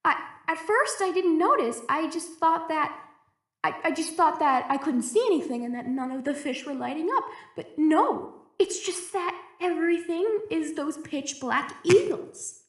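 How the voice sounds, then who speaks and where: Scared lone female researcher, played by a woman, high voice, slow halting delivery with stammers, audio drama log in an echoey room. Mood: agitated, alarmed. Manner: hesitant, stammering, anxious. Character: frightened, isolated young woman researcher keeping a log. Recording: echoey roomy recording.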